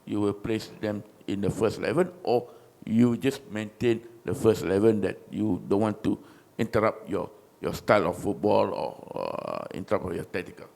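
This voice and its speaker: A man's voice: lowish voice, lively intonation, moderate pace, gravelly, a little mellow. A male journalist asking long, rambling questions at a press conference.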